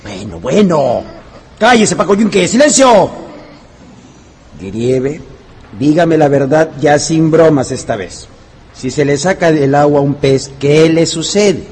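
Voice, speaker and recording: A man's voice: on the high side, animated, takes it slowly, darkish tone, slightly rough, heavily acted. A man playing a stern, didactic schoolteacher in a radio drama, speaking with authority. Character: stern, patient-paced male schoolteacher quizzing pupils.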